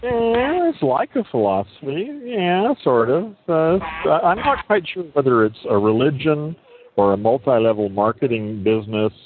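A man chatting casually, asking eager, rapid questions. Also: mid-range voice, sing-song. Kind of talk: casual conversation. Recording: phone-like line with faint noise.

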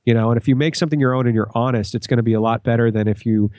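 A man's voice: mid-range voice, fairly even intonation, very fast, darkish tone, dry recording, studio quality. A man speaking as a podcast guest in casual conversation, explaining things in an earnest way.

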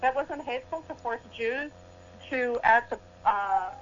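A woman's voice: fairly high pitch, a little slow, background noise. A woman psychologist, a guest in a heated radio debate, firm and indignant as she talks over interruptions. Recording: dull lo-fi radio audio.

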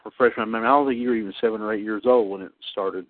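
A man in his thirties hosting a casual online radio show about wrestling. He talks haltingly, with many fillers and restarts. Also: fairly low pitch, steady tone, a little hiss or hum. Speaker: podcast host. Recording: tinny phone-line sound, dry.

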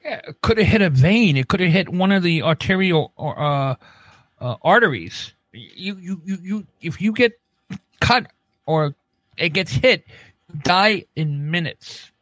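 Slow, animated higher-voiced man on a comedy podcast. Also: no echo. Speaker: comedy podcast co-host reading odd news. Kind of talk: casual comic banter and news reading.

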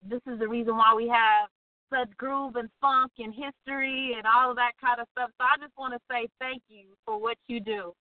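A woman's voice: fairly high pitch, steady tone, normal speed, no echo. A woman calling into a radio show as a music manager, effusive and gushing. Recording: tinny phone line.

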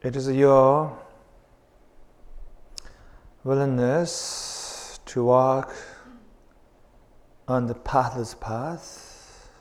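A man leading a guided meditation as a teacher, speaking in deliberate, halting phrases with long pauses. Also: mid-range voice, steady tone.

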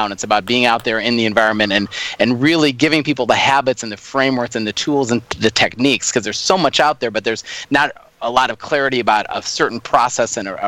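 A man's voice: medium pitch, no room sound. A middle-aged man from Minnesota, a coach explaining his method with energy on a radio interview by video call. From Minnesota, United States. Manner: energetic, upbeat explaining with frequent "right?" tags. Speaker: guest, performance coach.

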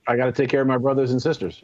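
An American man in his seventies, a veteran on a radio panel, talking in halting, deliberate phrases. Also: medium pitch, steady tone, darkish tone. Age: 70s. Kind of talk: conversational radio discussion. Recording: dull, lo-fi call-in line.

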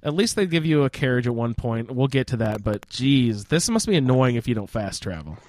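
A man's voice: medium pitch, expressive, a little fast, darkish tone. A man hosting a casual, informal roundtable discussion about a video game. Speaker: podcast host.

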